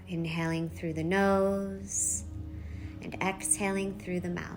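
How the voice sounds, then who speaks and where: A woman leading a guided meditation, giving soft instructions in short phrases with long pauses between them. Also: lowish voice. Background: background music.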